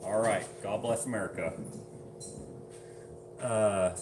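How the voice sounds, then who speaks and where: A man's voice: on the low side, fairly even intonation, takes it slowly, over music. A man casually chatting on a livestream, reading viewer comments aloud and laughing often. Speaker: livestream host.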